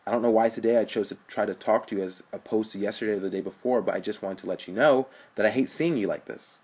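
A man's voice: on the low side, fast, darkish tone, slightly rough. A man voicing an earnest young college student who lectures his older brother. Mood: pleading.

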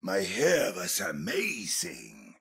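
An actor playing a boisterous, proud old armoured knight in a video game, with a German accent and a booming, bombastic delivery. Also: male voice, huge pitch swings, takes it slowly, sharp tone, faint music behind, heavily acted. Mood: jovial, booming. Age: older. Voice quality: gravelly, husky, booming. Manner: bombastic, hearty.

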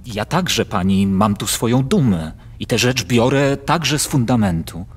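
A man's voice: mid-range voice, animated, measured pace, breathy edge, no echo. An actor playing a proud, tormented nobleman in a theatrical verse radio drama, grave and brooding. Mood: stiff, proud.

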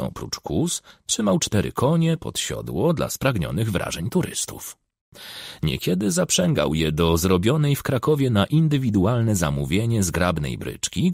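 A man narrates a crime novel for an audiobook in a low-pitched voice with a creaky edge. His pitch moves about in a lively way as he reads the scripted prose. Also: no echo, studio quality.

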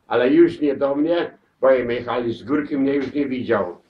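An elderly man shares his war memories in a crowded living room. He speaks haltingly, repeating words and restarting his sentences. He is picked up from across the room on a dull camera mic. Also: medium pitch. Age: older. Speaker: war veteran interviewee. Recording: distant, dull camera audio.

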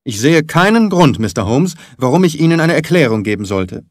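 A man's voice: a little high, big pitch swings, fairly quick, no echo, clean studio sound, voice pushed up. A man voicing a stern, indignant doctor in an audiobook, brusque and haughty in manner. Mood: furious. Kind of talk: audiobook character acting.

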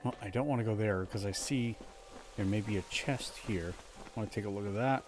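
Low-voiced man streaming a game. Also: fairly quick, background noise. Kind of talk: casual live gameplay commentary. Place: indoor room, streaming webcam setup. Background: game music.

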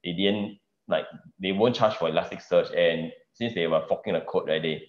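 A man with a Singaporean English accent giving a casual open source news update at a meetup, halting, with many fillers and "right?" tags, over a video call. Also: deep voice. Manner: halting, many fillers and restarts, tag questions. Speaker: meetup presenter. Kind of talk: casual tech news talk. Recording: roomy, dull video-call audio.

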